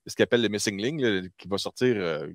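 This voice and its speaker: A man's voice: lowish voice, quick pace, no room sound, studio quality. A man with a Quebec French accent hosting a casual group chat, telling stories in a colloquial, chatty way. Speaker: talk show host. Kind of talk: casual group chat about nostalgia and toys.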